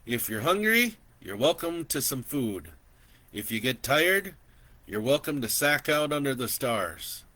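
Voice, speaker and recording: A man's voice: medium pitch, sing-song, a little slow, pushed and bright, dry recording, voice pushed up, heavily acted. A man voicing an easygoing, welcoming older landowner who rides a horse on his land, in a spoken story. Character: friendly older male horse-riding landowner.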